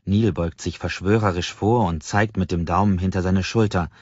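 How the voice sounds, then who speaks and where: A man's voice: deep voice, steady tone, normal speed, darkish tone, slightly rough. A man narrating a novel as an audiobook in a scripted storytelling style. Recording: slightly dull, roomy sound.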